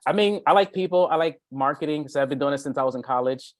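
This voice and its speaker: A man's voice: a little high, fast talker, dry recording, studio quality. A middle-aged man talking casually and off the cuff about his work, often doubling back and repeating phrases. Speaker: B2B marketing consultant. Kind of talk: casual, unscripted self-introduction in a meeting.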